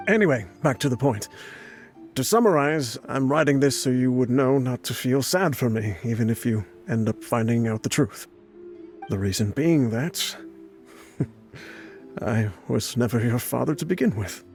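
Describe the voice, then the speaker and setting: A man's voice: mid-range voice, normal speed, a little mellow, lightly acted. A man voicing an ailing old man who rambles casually and keeps amusing himself. His voice sits mid-range, with faint music behind it in a game cutscene. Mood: wistful. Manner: casual, rambling and self-amused. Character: ailing old man, boastful joker, heavy drinker.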